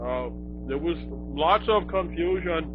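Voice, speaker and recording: A man's voice: a little high, expressive, measured pace, background noise. A man playing a flustered emergency alert announcer, hesitant and repetitive as he awkwardly reassures in a mock broadcast. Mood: awkward, reassuring. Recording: muffled lo-fi broadcast with clear background music.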